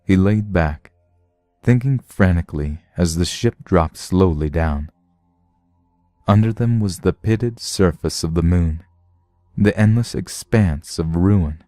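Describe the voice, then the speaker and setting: A man's voice: low voice, takes it slowly, dark tone, no room sound. A man narrating a science fiction story from a script. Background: music.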